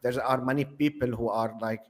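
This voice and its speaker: A man whose native language is Arabic speaks as a guest commentator on a talk panel. His delivery is hesitant, full of fillers and false starts, and his pitch is mid-range. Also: fairly even intonation, moderate pace. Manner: hesitant, many fillers and restarts. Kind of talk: casual panel discussion.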